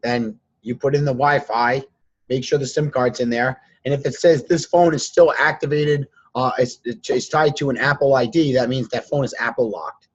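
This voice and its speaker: A hoarse man with laryngitis hosting a live group call, coaching students in a blunt, lecturing way. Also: mid-range voice, steady tone, moderate pace. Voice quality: husky, strained. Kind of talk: casual coaching chat. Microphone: online call. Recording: dull lo-fi sound.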